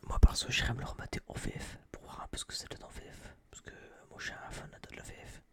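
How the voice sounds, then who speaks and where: A young man whispering a casual, slangy, rambling review in breathy ASMR style, close to a binaural microphone. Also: quick pace. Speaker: ASMR video creator.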